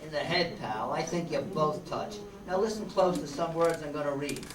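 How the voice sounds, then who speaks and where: A man's voice: a little high, normal speed, natural voice. A man playing a wisecracking, hard-boiled private eye in an old-time radio drama, slangy and sardonic. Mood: brash, irritated. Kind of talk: old-time radio detective narration and dialogue. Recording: roomy, noisy, distant microphone stage recording.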